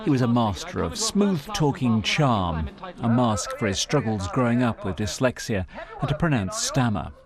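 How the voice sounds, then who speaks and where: A man's voice: mid-range voice, very animated, normal speed, a crowd in the background. A male correspondent narrating a scripted news obituary. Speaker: media correspondent.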